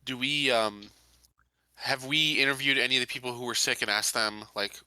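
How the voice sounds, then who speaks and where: A man's voice: mid-range voice, moderate pace, bright, forceful tone, smooth, no echo, rough recording. A man playing a tabletop roleplaying game, talking casually as he discusses what his character would do.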